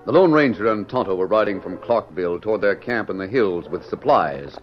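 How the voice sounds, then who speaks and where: A man's voice: on the low side, animated, brisk. A man narrating a western radio drama in a scripted 1940s announcer style. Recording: muffled old radio recording, music behind. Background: loud background music.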